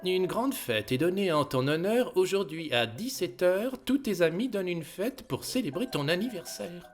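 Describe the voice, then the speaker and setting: A man's voice: high voice, expressive, normal speed, soft background music. A man playing a very old, touchy tortoise inventor in a cartoon, explaining things in a fussy, professorial way. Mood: touched, formal. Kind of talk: French-language animated film dialogue.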